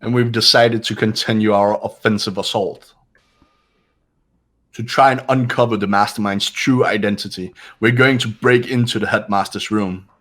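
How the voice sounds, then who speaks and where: A man voicing a stern, resolute young leader in a video game, speaking gravely and with authority. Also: on the low side, fairly even intonation, moderate pace, a bit bright, close to an everyday voice. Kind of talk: amateur voice acting of a video game.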